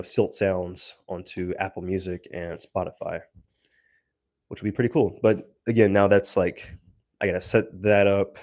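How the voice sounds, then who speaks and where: Guy doing a casual rambly audio log, lowish voice, dry close sound, kinda halting. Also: a little mellow, no room sound. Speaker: solo creator giving a brand update.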